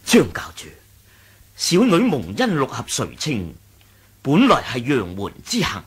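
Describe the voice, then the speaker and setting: Husky, loyal sect lieutenant. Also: male voice, medium pitch, huge pitch swings, a little slow, heavily acted. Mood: respectful, hesitant. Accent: Hong Kong Cantonese. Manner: formal, respectful. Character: loyal, deferential senior male lieutenant of a martial sect. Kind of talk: 1980s radio drama acting.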